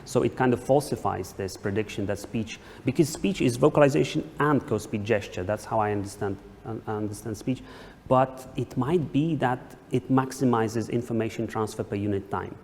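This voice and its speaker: Creaky-voiced male academic in an echoey hall. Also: mid-range voice, normal speed, few pauses, a little mellow. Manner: hesitant, frequently checks with "right?". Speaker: academic presenter answering questions. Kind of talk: conference question-and-answer, explaining. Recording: echoey hall, some background noise.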